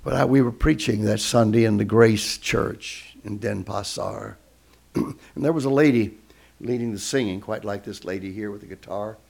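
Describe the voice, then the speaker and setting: A man's voice: lowish voice, normal speed, gravelly, a little mellow. A man who is a guest speaker, telling a story in a sermon and acting out the voices of the people in it.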